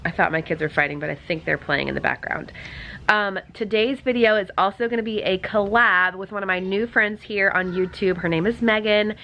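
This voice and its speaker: A woman's voice: mid-range voice, a little fast, lo-fi sound, slight background noise. A woman in her thirties with a stuffed-up head cold, chatting casually as she hosts a cleaning vlog. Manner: chatty, upbeat, with fillers.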